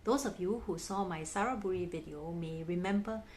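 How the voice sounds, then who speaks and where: A woman's voice: lowish voice, moderate pace, a little mellow, a little hiss or hum. A woman narrating her own vlog in a chatty, gushing fan manner. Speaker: vlogger. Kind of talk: casual narration.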